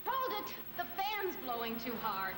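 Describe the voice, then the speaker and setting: An American actress playing a tense, impatient coffee drinker in a 1980s commercial. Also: female voice, sits high, huge pitch swings, a little slow, exaggerated voice. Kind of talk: 1980s TV-style commercial acting. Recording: echoey, noisy old broadcast ad.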